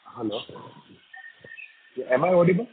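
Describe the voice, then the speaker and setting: A man's voice: fairly high pitch, lively intonation, unhurried. A man with an Indian accent calling in as an investor, putting questions in a blunt, insistent way. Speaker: individual investor caller. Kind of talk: spontaneous questioning on a call. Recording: tinny phone line with faint background noise.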